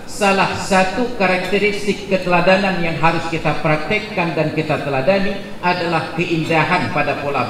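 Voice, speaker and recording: A man in his forties giving a jokey, animated sermon at a gathering, building to loud emphatic peaks. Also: fairly high pitch. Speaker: preacher. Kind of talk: humorous sermon at a religious gathering. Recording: echoey hall with background noise.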